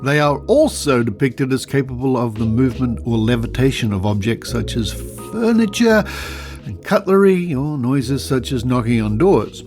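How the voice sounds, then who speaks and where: Australian man hosting, mid-pitched voice. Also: expressive, normal speed, darkish tone, soft background music. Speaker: podcast host. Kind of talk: narration, reading aloud with asides.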